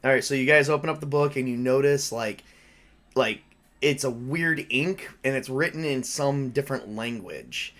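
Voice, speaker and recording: A man's voice: mid-range voice, moderate pace, a bit bright. A man running a tabletop role-playing game as game master, narrating in a casual, conversational way.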